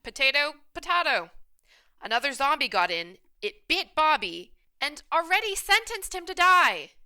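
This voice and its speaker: A woman's voice: sits high, big pitch swings, normal speed, bright, forceful tone, full character voice. A woman playing a bossy, blunt, hard-nosed restaurant manager in a radio play. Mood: argumentative. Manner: blunt, assertive.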